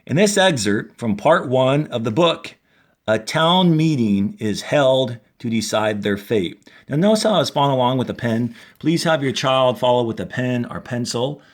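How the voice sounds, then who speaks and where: A man, a fourth grade teacher, reading a story aloud to a class in a deliberate, instructional manner. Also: medium pitch, expressive. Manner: deliberate, instructional, clearly announcing paragraphs and quotes. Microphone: handheld camera held while reading.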